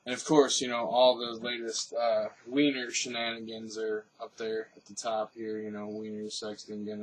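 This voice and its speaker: A man's voice: medium pitch, husky, distant mic, poor audio. A man giving off-the-cuff news commentary, rambling and thinking aloud. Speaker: commentator and host.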